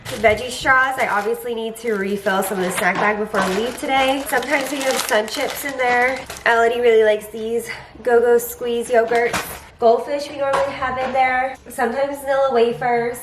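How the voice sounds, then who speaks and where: A congested young woman talks to the camera at home, her voice pitched a little high. She rambles casually with plenty of "like", and the room gives a roomy echo over faint background noise. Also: steady tone, normal speed. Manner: chatty, rambling with many "like"s. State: congested from allergies. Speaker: vlogger, mother. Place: home, indoors.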